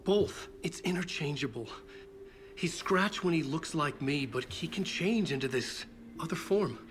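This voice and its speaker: An actor playing a haunted, desperate writer in a video game, speaking in a troubled way. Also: male voice, on the high side, expressive, a little hiss or hum. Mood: urgent, troubled. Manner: halting, troubled, repeats words. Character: haunted, desperate male writer escaped from a nightmare. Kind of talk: dramatic video game acting, narration and dialogue.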